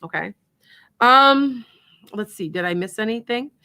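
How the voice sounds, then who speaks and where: A woman's voice: a little high, lively intonation, measured pace, pushed and bright, clear, resonant tone. A woman with a Wisconsin accent hosting a psychic radio show, chatting in a rambling way and laughing often. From Wisconsin, USA. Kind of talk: casual chat and psychic readings.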